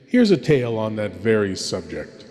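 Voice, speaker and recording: A man playing a sinister, courtly horror host, narrating theatrically with dramatic pauses and hushed menace. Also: on the low side, huge pitch swings. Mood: ominous, wry. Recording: long hall-like reverb.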